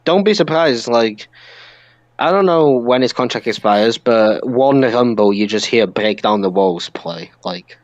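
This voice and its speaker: A man's voice: medium pitch, lively intonation, a little slow, no room sound, dull audio. A British man chatting casually and with strong opinions as co-host of a wrestling podcast. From United Kingdom.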